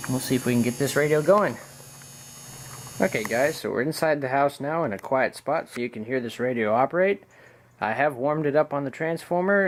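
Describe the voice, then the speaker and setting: A man's voice: medium pitch, low-quality recording. A man giving a casual, hands-on demonstration, thinking aloud informally as he works. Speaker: vlogger demonstrating an electronics restoration. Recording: background noise with mains hum.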